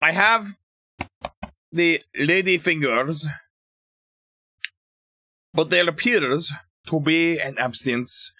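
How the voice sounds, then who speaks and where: A man streaming live, chatting casually with viewers, animated, laughing often and stumbling as he reads things aloud. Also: a little high, drawn-out words, pauses often, no room sound, clean studio sound.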